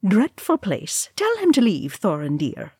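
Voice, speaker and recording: Woman putting on a high, haughty dwarf matron. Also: wildly swooping pitch, a little slow, dark tone, slightly rough, dry recording, clean studio sound, heavily acted. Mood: disdainful. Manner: haughty, prim, scolding. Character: stern, disapproving, proper dwarf matron.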